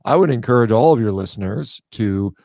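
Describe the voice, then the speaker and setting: A man's voice: mid-range voice, animated, brisk, creaky, mellow, no room sound, studio quality. A man in his late fifties speaking bluntly and emphatically as a guest in a live, unscripted interview. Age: late 50s.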